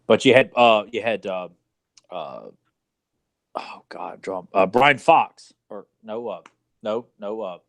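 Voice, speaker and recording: A man's voice: lowish voice, slow, no echo. A man co-hosting a podcast, chatting casually in a blunt, opinionated and rambling way with some mild swearing. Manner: blunt, opinionated, mildly profane. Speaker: podcast co-host. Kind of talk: casual chat about video game movies.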